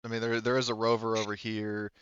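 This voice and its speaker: A man's voice: fairly low pitch, flat delivery, fast, fairly bright tone, clean tone, no room sound, highs cut off. A man talking casually as game master of a tabletop game, narrating and going over rules in an informal, sweary way.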